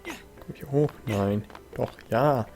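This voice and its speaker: A man with a mellow, mid-pitched voice commenting casually on a video game. He is laid-back, often muttering dry asides, with game music playing behind him. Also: slow pace. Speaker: let's play host. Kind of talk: casual gameplay commentary in German.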